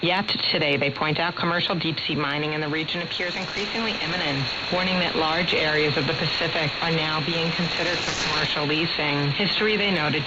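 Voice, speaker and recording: A woman delivering a scripted radio news report as a reporter. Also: low-pitched. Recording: muffled shortwave radio with clear static.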